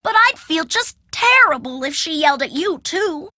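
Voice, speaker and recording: A woman's voice: very high-pitched, big pitch swings, moderate pace, pushed and bright, no room sound, studio quality, full character voice. A woman voicing a sugary, eager-to-please ten-year-old farm girl in a video game, gushing and pleading. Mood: earnest, coaxing. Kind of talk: video game character dialogue.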